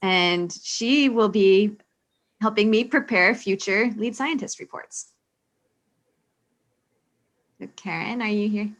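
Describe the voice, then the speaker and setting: A woman's voice: medium pitch, slow pace, long pauses. A woman leading a public meeting, introducing new staff in a polite, welcoming way over a video call. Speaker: science program lead at a meeting.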